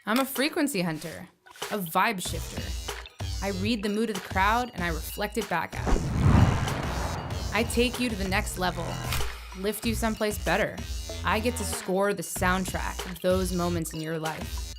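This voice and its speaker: A woman's voice: medium pitch, moderate pace, lightly acted. A woman playing a brash, self-assured electronic music artist in a motion comic, slangy and cocky in delivery. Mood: cool, confident. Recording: voice over clear music.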